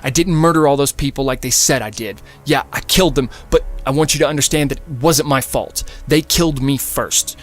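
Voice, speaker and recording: A man voicing a cocky young male fugitive in an audiobook, hurried, dismissive and insistent. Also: mid-range voice, lightly acted. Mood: urgent, pleading.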